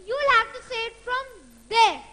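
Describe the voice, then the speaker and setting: A woman's voice: extremely high pitch, moderate pace, big-room sound. A woman playing a fiery judge's daughter. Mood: coy.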